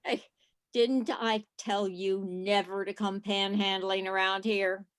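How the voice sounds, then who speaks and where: A woman playing a gruff, hostile barman in a radio play, curt and contemptuous. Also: medium pitch, level tone, takes it slowly, close to an everyday voice. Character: gruff, hostile male bartender Nick, adult. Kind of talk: community theatre radio play acting. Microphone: video call.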